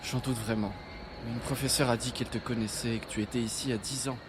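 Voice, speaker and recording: A man's voice: medium pitch, steady tone, fast talker, noisy background, close to an everyday voice. A man voicing a shy, lonely teenage boy who has just moved to a new town; he talks hesitantly. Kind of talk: French audio drama.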